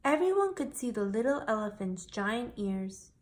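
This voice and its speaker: A woman with a fairly high voice narrates a children's storybook. She reads aloud expressively, in the manner of a storyteller for young listeners. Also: sing-song, normal speed. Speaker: storyteller reading a children's picture book aloud.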